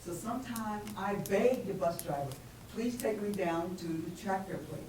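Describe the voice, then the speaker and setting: Casting: female resident at a town meeting, booming, folksy and conversational, heard echoing across a noisy room. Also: moderate pace, darkish tone. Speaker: resident giving public comment. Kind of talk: conversational, informal public comment. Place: public meeting room, off the microphone. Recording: noisy echoey room, far from microphone.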